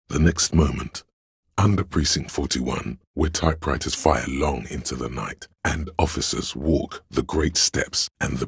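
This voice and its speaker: A man playing a solemn, brotherly inner voice of police instinct in a video game, narrating in a measured, brooding, literary way with a gravelly, husky tone. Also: extremely low pitch, no room sound, studio quality, heavily acted. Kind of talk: scripted second-person game narration.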